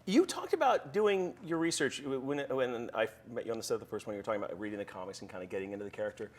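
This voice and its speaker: Brief: male film interviewer, quick and slightly husky, long winding questions. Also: medium pitch, animated. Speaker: entertainment interviewer.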